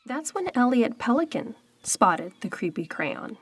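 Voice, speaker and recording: A woman narrating a children's storybook aloud in a dramatic, suspenseful way with deliberate pauses. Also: on the high side, sing-song, breathy edge, no room sound. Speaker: storybook reader. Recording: faint background music. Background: faint music.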